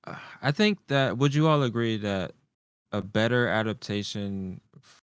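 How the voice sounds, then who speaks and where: Smooth, resonant low-voiced man. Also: a little slow, no echo, studio quality. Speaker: podcast panelist. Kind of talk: casual chat.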